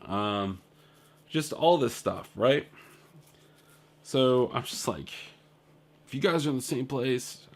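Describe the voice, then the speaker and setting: A young man telling a rambling, casual story about his trip in a video diary, full of fillers and asides. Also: on the low side. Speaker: indie game developer.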